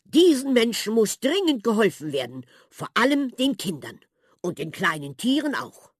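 A kind, hearty king of a prankster folk who calls his people to help, as a woman reader voices him. The voice is lively and slightly husky, recorded close and dry. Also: mid-range voice, moderate pace, no room sound. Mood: rallying. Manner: hearty, rallying.

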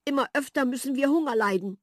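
A woman voicing an excited, anxious little female house mouse who talks in a high, trembling, pleading voice. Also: fast talker, no echo, studio quality. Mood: complaining.

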